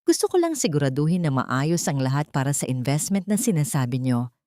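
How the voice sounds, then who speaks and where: A betrayed young Filipino wife in an audio story, acted by a woman. She stays cool, polite and controlled in a slightly low voice, recorded very dry. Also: sing-song, fairly quick, warm tone, dry recording. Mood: cool composure. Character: betrayed young wife, coldly composed, secretly vengeful.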